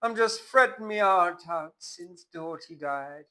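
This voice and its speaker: A man's voice: slow pace, sharp tone, exaggerated voice. A man putting on a wailing, lovelorn widow. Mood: mournful. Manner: high wailing lament. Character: grieving plump widow woman, lovelorn.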